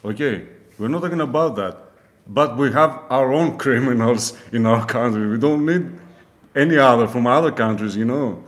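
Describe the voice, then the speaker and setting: Heated Greek man speaking halting English. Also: medium pitch. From Greece. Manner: halting non-native English, repeats himself, heated. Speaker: guest. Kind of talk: casual conversation.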